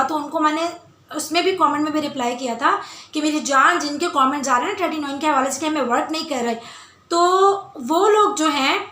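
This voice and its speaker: Loud, high-pitched woman vlogger. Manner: emphatic, insistent, repeats phrases for stress. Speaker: beauty vlogger. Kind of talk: casual skincare Q&A talk to viewers. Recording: roomy, echoey indoor sound.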